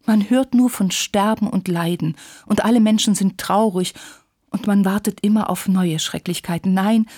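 A woman's voice: medium pitch, expressive, unhurried, dark tone, dry recording, clean studio sound. A woman voicing a self-pitying, passionate young war widow in a literary story, plaintive and insistent in manner. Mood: anguished. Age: young adult.

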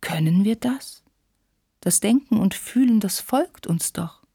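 Woman reading as a weary, soft-voiced old countess. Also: mid-range voice, lively intonation, unhurried, slightly rough, no echo, clean studio sound. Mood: gently doubtful. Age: older. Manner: patient, gentle. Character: frail, stooped old countess, tired, patient aunt.

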